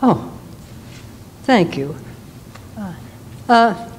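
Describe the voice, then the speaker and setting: A woman's voice: mid-range voice, lively intonation, drawn-out words. An older woman playing a genteel old sweetheart who tenderly corrects her beau in a musical stage duet. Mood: shy, nostalgic. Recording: reverberant hall with piano accompaniment.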